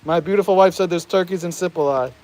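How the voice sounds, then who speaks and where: A man's voice: sits high, fast, a little mellow, low-quality recording. An American man chatting casually on a live stream outdoors, reading out viewer comments, laughing often and tripping over his words. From United States. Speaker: vlogger reading live chat. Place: outdoors in the rain. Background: rain falling.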